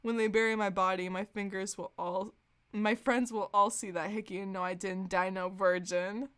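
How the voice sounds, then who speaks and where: A woman's voice: mid-range voice, steady tone, moderate pace, a bit bright, clear, resonant tone, close to an everyday voice. A woman voicing a smug, lustful teenage girl from a parody fan fiction in a brazen, taunting, melodramatic way. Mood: smug, excited.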